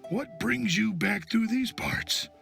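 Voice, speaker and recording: A woman voicing an eager, friendly older man with a creaky voice in a game, with music behind. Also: medium pitch, takes it slowly, fairly bright tone, heavily acted. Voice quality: gravelly, creaky. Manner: hearty, familiar.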